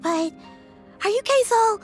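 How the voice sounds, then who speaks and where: A curious young girl in a game, very high in pitch and full of lilting swings. She is earnest and chatty, with music underneath. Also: lively intonation, unhurried. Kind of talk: video game voice acting.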